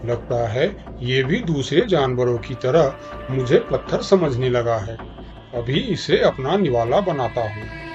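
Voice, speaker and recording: Cartoon villain crocodile, played by a man: sly, greedy, unhurried, music underneath. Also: medium pitch, lively intonation, highs cut off. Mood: smug, predatory. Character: sly, hungry male crocodile villain, smug schemer. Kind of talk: Hindi cartoon story dialogue.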